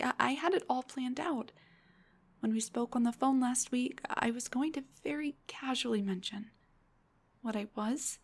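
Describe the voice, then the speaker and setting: A young woman playing an embarrassed, self-deprecating female Dullahan in an intimate audio roleplay, hesitant and rambling, with a husky, breathy voice. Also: a little high, darkish tone, heavily acted. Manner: hesitant, stammering, rambling confession.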